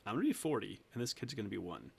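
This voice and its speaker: A man's voice: on the low side, big pitch swings, racing, vocal fry, slightly rough. A man in his thirties, a weight loss coach talking earnestly as a podcast guest, laughing often and restarting sentences. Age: 30s. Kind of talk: casual interview talk.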